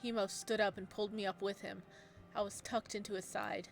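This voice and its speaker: A woman's voice: mid-range voice, a little fast, gravelly, faint music behind. A woman reading a visual novel aloud. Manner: excitable, laughs at her own voice acting. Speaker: game streamer.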